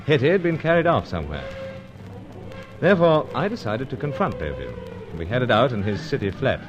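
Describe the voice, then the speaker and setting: An actor playing a gentlemanly, dryly understated British secret agent, with clipped old-fashioned speech, in a radio adventure serial. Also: male voice, mid-range voice, sing-song, brisk, highs cut off, over music, lightly acted. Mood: brisk, narrating.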